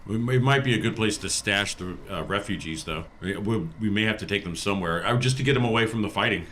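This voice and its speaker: Male tabletop player, lowish but bright voice, rapid casual delivery, noisy backdrop. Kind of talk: casual tabletop game chat.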